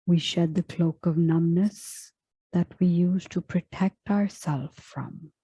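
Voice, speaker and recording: A woman leading a guided meditation in a soft, breathy, hushed voice, speaking gently and in measured phrases with long pauses. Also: lowish voice, steady tone, no room sound. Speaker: meditation guide and yoga teacher. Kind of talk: slow guided meditation, scripted.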